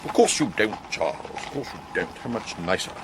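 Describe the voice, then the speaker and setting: An actor in a radio drama playing a suave, sly upper-class English intelligence agent, speaking in an arch, languid, plummy way. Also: male voice, lowish voice, very animated, brisk, background noise. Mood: breezy.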